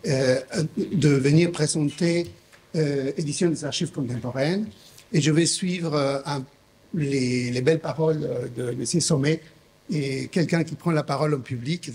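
A man's voice: a little high, a little mellow, slight background noise. A middle-aged man from Mexico presenting his publishing house at a conference in a large hall, speaking unscripted with many fillers and restarts into a desk mic. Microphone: desk microphone.

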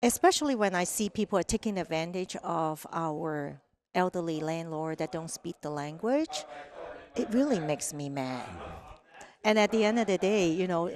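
Middle-aged Hong Kong-accented woman guest, low-ish voice, lively storyteller. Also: normal speed. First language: Cantonese. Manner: animated, acts out quoted voices, frequent "right?". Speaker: podcast guest. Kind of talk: casual interview storytelling. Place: sunny room with large windows. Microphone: headset mic.

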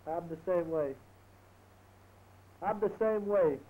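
A tinny, noisy old phone recording carries a young New York man's high voice. He is a soldier in his twenties, chatting with family back home in the 1950s. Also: slow. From Brooklyn, New York. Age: 20s. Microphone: headset.

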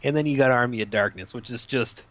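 A man's voice: medium pitch, quick pace, a crowd in the background. A young man co-hosting a podcast, rambling through crude jokes with many restarts in casual banter. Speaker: podcast co-host.

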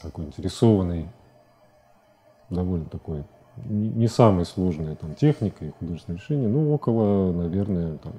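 A man in his forties talking as a guest in a studio interview, halting and repeating words as he searches for phrasing. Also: deep voice, expressive, mellow. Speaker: interview guest, animation director. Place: TV studio at a desk. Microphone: lapel mic.

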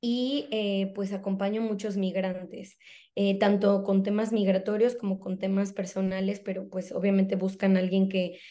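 A woman's voice: medium pitch, little pitch movement, normal speed, mellow. A woman in her thirties, a therapist, talking conversationally about herself on an indoor video call. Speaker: psychologist and therapist. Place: indoors in a room at home.

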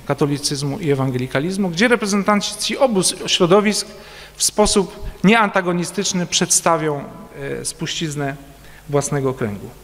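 Man lecturing formally in a reverberant hall. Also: high-pitched. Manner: measured, formal, hesitant fillers between phrases. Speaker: conference speaker. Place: large church hall with a seated audience. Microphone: standing at a lectern, recorded by a distant camera. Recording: long hall reverb from a distant camera.

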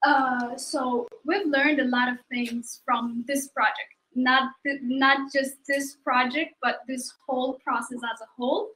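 High bright-voiced woman from Ethiopia. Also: fairly even intonation, measured pace, few pauses, low-quality recording. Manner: fluent, organized, a few ums. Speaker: junior developer presenting. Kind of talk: online presentation talk.

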